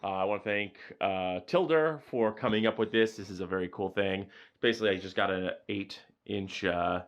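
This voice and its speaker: A man with a deep booming voice giving a chatty, enthusiastic camera gear review, recorded on a changing mix of camera, lapel and wireless mics. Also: moderate pace. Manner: enthusiastic, chatty with many fillers. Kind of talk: casual product review. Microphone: changing mics: built-in camera mic, lapel and wireless mic.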